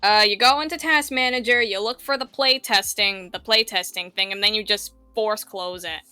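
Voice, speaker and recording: Woman, casual tutorial talk, bright crisp tone, fairly high pitch, dry recording, background music. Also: moderate pace, no echo. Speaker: tutor guiding a visual novel project.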